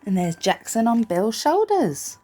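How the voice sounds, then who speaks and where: A woman reads a picture book aloud expressively, gently reassuring young listeners and putting questions to them. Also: fairly high pitch, very animated, unhurried, faint music behind. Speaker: storybook reader for young children.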